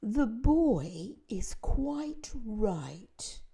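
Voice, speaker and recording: A woman voicing an old, courteous male grasshopper who speaks slowly in a hushed, breathy way. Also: on the high side, big pitch swings, voice pushed up, exaggerated voice. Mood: calm. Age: older.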